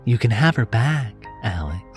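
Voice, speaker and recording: A man's voice: mid-range voice, sing-song, moderate pace, faint music behind, pitched above the performer's own voice, close to an everyday voice. A man voicing a shapeshifting creature posing as a seductive, cruel woman in a horror story, coy and taunting.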